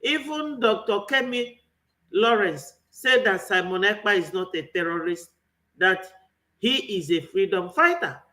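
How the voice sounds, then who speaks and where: A man's voice: sits high, animated, unhurried, pushed and bright. A man with a Nigerian accent giving an impassioned political commentary, full of rhetorical questions. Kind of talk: online political commentary monologue.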